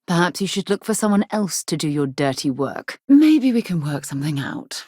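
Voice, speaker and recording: A woman's voice: on the low side, sing-song, moderate pace, warm tone, breathy edge, no echo, clean studio sound. A woman playing a thoughtful, principled space explorer in a video game, speaking in an articulate, earnest and composed way. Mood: stern. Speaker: video game companion character.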